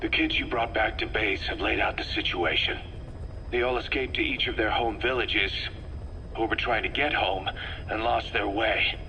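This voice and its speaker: A man playing a gruff, guarded military commander in a video game, speaking tersely and defensively. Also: fairly low pitch, steady tone, normal speed, lightly acted. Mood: businesslike, tense. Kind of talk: scripted video game dialogue over radio. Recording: radio tape with background noise.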